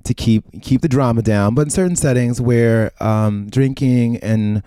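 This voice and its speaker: A man hosting a casual podcast conversation, chatty and animated, with playful asides. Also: medium pitch, a little slow, clean tone, dry recording. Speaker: podcast host.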